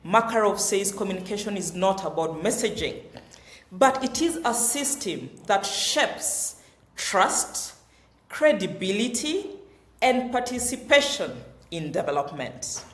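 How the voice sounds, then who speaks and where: A woman hosting a webinar, introducing each speaker in a formal, measured way. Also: mid-range voice, very animated. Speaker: webinar host. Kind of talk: moderating a webinar. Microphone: video call. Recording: roomy echo.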